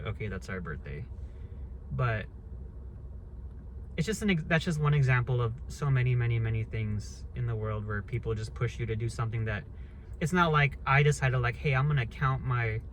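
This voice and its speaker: A man in his early thirties holds forth in a loose, unscripted monologue, blunt and quick to swear as his thoughts wander and restart. His voice sits in an ordinary middle pitch, and a faint hiss runs under the recording. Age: 30s. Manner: blunt, rambling, swears freely. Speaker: vlogger. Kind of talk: casual monologue rant.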